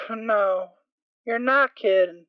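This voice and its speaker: A woman's voice: fairly high pitch, very slow, dry recording. A woman playing a concerned, caring unicorn mare in an amateur audio drama, earnest and hesitant as she explains. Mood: incredulous. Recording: tinny, band-limited dry home recording.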